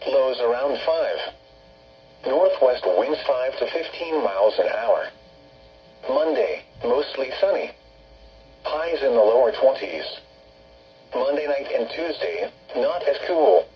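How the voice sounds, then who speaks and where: A synthetic text-to-speech man's voice reading a scripted weather forecast over radio in a formal, segmented, flat manner. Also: mid-range voice. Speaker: automated weather radio announcer. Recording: muffled, noisy weather radio broadcast with hum.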